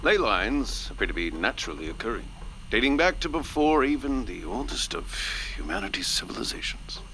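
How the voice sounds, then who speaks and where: An actor playing a studious scientist dictating a research log in an audio drama, deliberate and formal. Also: male voice, on the low side, big pitch swings, vocal fry, pushed and bright, a little hiss or hum, exaggerated voice, low-quality recording. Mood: measured, absorbed.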